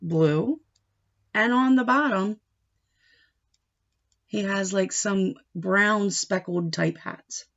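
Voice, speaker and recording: A woman giving a read-aloud lesson for young children as a teacher, speaking in short deliberate phrases and asking questions as she goes. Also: mid-range voice. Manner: instructive, asking questions and answering them; deliberate short phrases, expressive when reading. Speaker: teacher reading a picture book aloud. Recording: dull, lo-fi sound.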